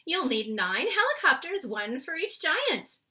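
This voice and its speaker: A woman's voice: sits high, sing-song, measured pace, pushed and bright, voice pushed up, exaggerated voice. A woman voicing a commanding, principled Queen, decisive and regal, in a storybook reading.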